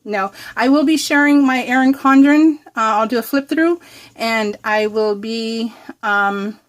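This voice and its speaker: Higher-voiced American woman, bubbly and chatty. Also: measured pace. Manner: bubbly, giggly, rambling and gushing. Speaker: craft vlogger hosting a scrapbook process video. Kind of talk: casual chat to viewers while crafting.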